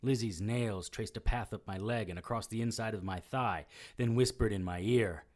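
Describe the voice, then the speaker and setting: An actor playing a wry, self-mocking immortal occult investigator who narrates an audio drama in noir style. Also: male voice, fairly low pitch, normal speed, dry recording, studio quality, close to an everyday voice. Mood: hushed, tense. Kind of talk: scripted first-person audio drama narration.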